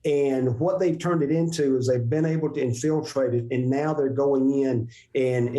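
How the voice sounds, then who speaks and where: A man's voice: medium pitch, mellow. Emphatic man in an echoey room. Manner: emphatic, repeats himself while building a point. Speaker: financial advisor, show host. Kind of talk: casual conversation. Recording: roomy echo.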